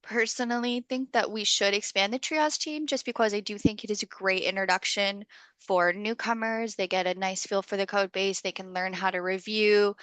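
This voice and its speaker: A woman hosting an online community call, friendly and full of okays, slightly hoarse as she loses her voice. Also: mid-range voice, fairly bright tone, no echo. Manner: friendly facilitator, many okays. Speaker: meeting host. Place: video call.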